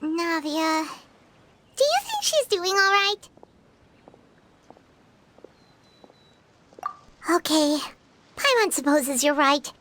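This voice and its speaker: A woman voicing a tiny, childlike, caring game sidekick with a squeaky, bubbly, exaggerated delivery. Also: fairly bright tone. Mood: concerned. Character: tiny childlike female floating companion, perky, caring, talks in third person. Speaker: video game voice actor. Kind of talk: cartoonish game dialogue. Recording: music behind the voice.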